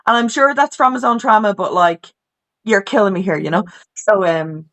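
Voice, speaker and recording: A woman's voice: medium pitch, lively intonation, normal speed, fairly bright tone. Chatty Irish woman telling her story. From Ireland, Dublin. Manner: chatty, informal, many restarts and "you know". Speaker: podcast guest. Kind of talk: candid personal story in conversation. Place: video call from home.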